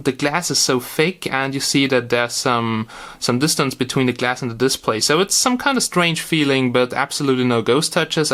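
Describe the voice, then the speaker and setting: A man with a medium-pitched voice reviews a gadget in a casual, unscripted way. He is blunt and informal, often pausing and repeating words as he works through his commentary. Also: a bit bright.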